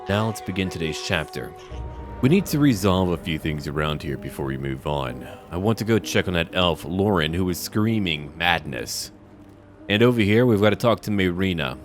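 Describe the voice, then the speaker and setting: A man hosting a roleplay gameplay video, recapping and musing aloud in a measured way as he plays. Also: sits low. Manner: measured, musing, talks to himself. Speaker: let's play host. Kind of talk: narrated roleplay gameplay commentary.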